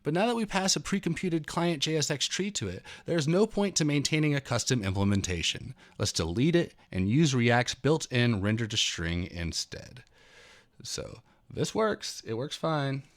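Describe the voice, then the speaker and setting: A man in his thirties reading a technical programming tutorial aloud in an expressive, slightly dramatic way. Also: medium pitch, normal speed. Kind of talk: read-aloud technical walkthrough with spoken asides.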